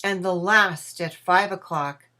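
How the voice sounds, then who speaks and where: A woman's voice: on the low side, takes it slowly, pushed and bright. A woman voicing the courteous ghost of a dead businessman in a storybook play, with formal, archaic, declamatory speech. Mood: solemn.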